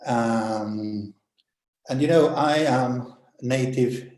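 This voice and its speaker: A man's voice: mid-range voice, extremely slow, darkish tone, reverberant. A man whose native language is Italian, giving a hesitant conference talk on an online panel, with many fillers and restarts. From Italy.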